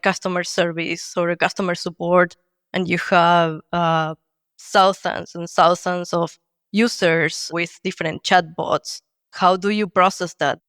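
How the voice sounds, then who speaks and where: Argentine woman, design lead guest, explanatory and clear, dry close sound. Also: lowish voice, a bit bright, no echo. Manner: explanatory, many fillers like "like". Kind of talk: podcast interview answers.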